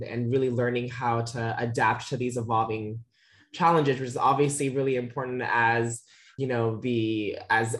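A woman interviewer who speaks in a polite, measured, affirming way as she comments and puts questions to a guest. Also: extremely low pitch.

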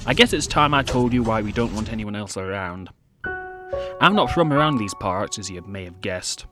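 A man's voice: fairly low pitch, expressive, moderate pace, lightly acted. Man acting a confiding teenage outsider boy over piano music. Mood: confiding, reflective. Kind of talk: audio drama monologue.

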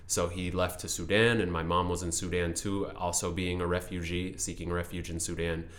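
A man's voice: deep voice, level tone, moderate pace, a bit bright. A young man raised in Seattle in an Ethiopian family, giving thoughtful, explanatory answers as an interview guest, often restarting his sentences. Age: young adult.

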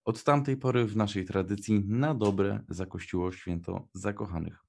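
A man's voice: fairly low pitch, fairly even intonation, normal speed, gravelly, a little mellow. A young man co-hosting a radio show, reading prepared notes and chatting casually. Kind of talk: scripted narration and casual radio chat.

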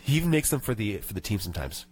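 A man's voice: on the low side, very animated, very fast, darkish tone, breathy edge. A man hosting a radio show in a studio, nasal from a cold, chatting and reading tips aloud with small rants. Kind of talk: casual radio chat.

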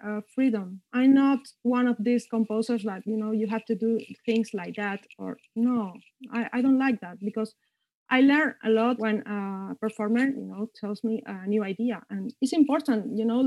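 A woman composer from Cuba answering interview questions thoughtfully, pausing often and searching for words. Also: fairly high pitch, warm tone, dry recording. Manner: halting, searching for words, frequent hesitations. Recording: dull lo-fi dry sound.

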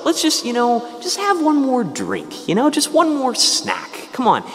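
A man's voice: extremely high pitch, huge pitch swings, a little slow, a bit bright, soft background music, pitched above the performer's own voice, full character voice. A man, a priest, voicing his own coaxing, tempting inner voice in a homily. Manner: wheedling, persuasive. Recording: long church reverb.

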